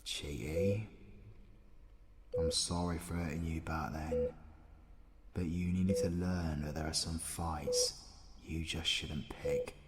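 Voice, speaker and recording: A British man acting a wounded, proud supervillain in an audio roleplay, muttering and swearing with gruff tenderness and vengeful intensity. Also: extremely low pitch, fairly even intonation, takes it slowly, pauses often, roomy sound, long reverb tail, noisy background.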